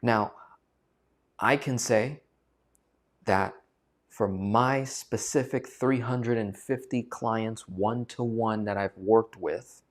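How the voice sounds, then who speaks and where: Man, low-ish voice, emphatic, repetitive, coaching talk to camera. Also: steady tone, slow pace. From United States. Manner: emphatic, repeats phrases for stress. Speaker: finance coach and channel host.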